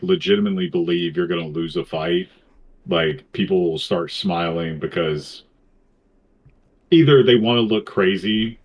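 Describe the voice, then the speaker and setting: A man's voice: low-pitched, muffled recording. A man co-hosting a casual podcast, crude and swearing, explaining things with lots of "like". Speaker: podcast co-host.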